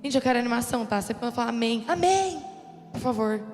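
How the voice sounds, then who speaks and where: Hoarse young woman preaching in an echoey hall. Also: fairly high pitch, lively intonation, fast talker, darkish tone, faint music behind. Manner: conversational, calls for amens. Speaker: youth group preacher. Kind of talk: sermon. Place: church youth service hall.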